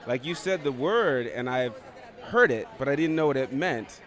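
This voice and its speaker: An American man, a guest on a red carpet, answering in a thoughtful, measured way with some restarts. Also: medium pitch, animated, a bit bright, some chatter behind. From United States. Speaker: actor guest. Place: outdoor red carpet event. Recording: outdoor event with music.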